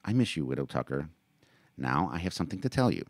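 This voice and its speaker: A man's voice: extremely low pitch, sing-song, fast talker, no echo, exaggerated voice. A man voicing a tender, motherly widow who soothes a child in a raised, coaxing voice. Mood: soothing. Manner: soft, coaxing, lifted voice.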